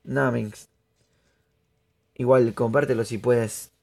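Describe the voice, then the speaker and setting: A young man from Peru, chatting excitably to viewers through live gameplay commentary. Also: mid-range voice, slow pace, creaky. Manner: chatty, excitable, laughs often, addresses viewers. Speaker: streamer.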